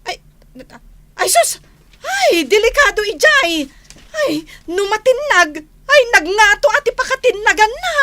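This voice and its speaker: A woman acting an excitable, fussing relative in a radio drama, gossipy and exclamatory, with an Ilocano accent. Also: very high voice, a little slow, exaggerated voice. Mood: alarmed. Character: excitable, tattling female relative fussing over the household.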